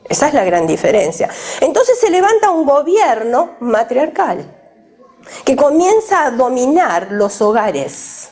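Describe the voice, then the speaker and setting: A woman preaches in a high, bright voice that swoops up and down constantly. She speaks with emphasis and turns often to the listeners with direct questions. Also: husky. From Argentina. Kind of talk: sermon to a women's gathering.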